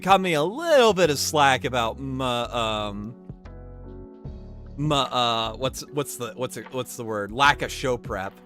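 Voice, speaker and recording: A man's voice: medium pitch, animated, measured pace, fairly bright tone, faint music behind. A man streaming and commenting casually, with a sniffly, stuffed nose, rambling and restarting his sentences often.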